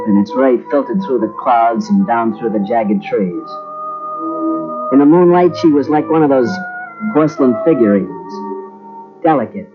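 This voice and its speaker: An actor playing a streetwise wartime soldier who narrates with gruff slang, on an old radio drama recording. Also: male voice, medium pitch, highs cut off, natural voice. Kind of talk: 1940s radio acting.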